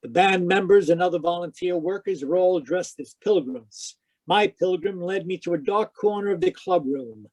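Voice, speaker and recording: Man acting a wry, high-voiced private eye. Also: normal speed, no echo, poor audio. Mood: wry narration. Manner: wry, deadpan. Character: wry hard-boiled private detective, Sam Spade. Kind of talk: old-time radio detective drama and narration. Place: live online performance over video call.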